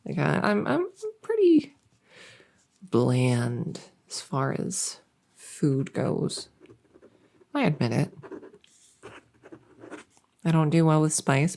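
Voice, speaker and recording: A woman's voice: low voice, creaky, a little mellow, exaggerated voice. A woman voicing a silly, awkward monster who lives under a bed, rambling and stammering earnestly in a comedic ASMR roleplay. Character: silly, awkward female monster living under a bed, bungling her job of scaring. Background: writing sounds.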